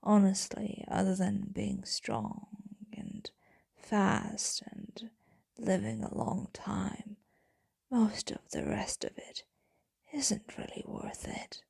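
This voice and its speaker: A devoted, sorrowful vampire woman, played by a female voice actor murmurs to her lover in an ASMR roleplay. Her voice is very husky and breathy, slipping between whisper and gravelly fry. It sounds close and dry, with a tender, brooding temper. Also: mid-range voice, flat delivery, no echo, full character voice. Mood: bitter, sorrowful. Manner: slow, brooding, hushed delivery. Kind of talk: intimate ASMR roleplay monologue.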